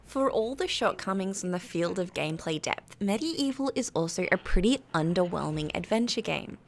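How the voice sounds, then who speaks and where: A woman with a British accent reading a scripted game review in a measured, articulate, critical way. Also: fairly low pitch, very animated. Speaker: video essay narrator.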